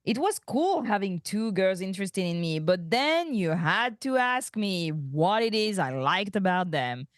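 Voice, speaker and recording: Give the voice of a whiny, dejected young starship ensign, played by a woman. The pitch swings widely with plaintive exasperation, in a dry close recording, as in a cartoon sci-fi comedy read. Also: sing-song, measured pace, few pauses, no echo. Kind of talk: fan table read of an animated sci-fi comedy script.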